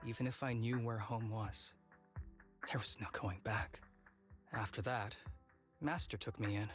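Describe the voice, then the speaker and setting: A man's voice: on the low side, fairly bright tone. A man voicing an easygoing young fighter with a hidden painful past in a video game, breezily downplaying serious things. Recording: background music under the voice.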